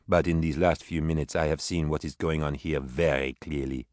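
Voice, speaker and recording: A man reading an audiobook voices a proud imprisoned mother who speaks in formal, measured sentences. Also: low voice, expressive, a bit bright, no echo, studio quality. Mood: soft. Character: proud imprisoned mother on trial, older woman, righteous and unbending.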